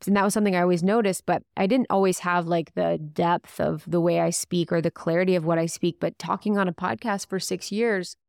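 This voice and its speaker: A woman with a somewhat low voice hosts a podcast. She speaks in a thoughtful, chatty way and uses many fillers. The sound is dry and close. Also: fairly even intonation, a little fast, creaky, hardly any pauses, a little mellow, no echo. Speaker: podcast host. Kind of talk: casual podcast conversation.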